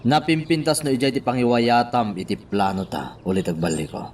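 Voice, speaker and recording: A man's voice: medium pitch, normal speed, darkish tone, close to an everyday voice. An actor playing a scheming nephew who gives orders to his uncle in a radio drama. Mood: conspiratorial. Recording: distant from mic, faint noise.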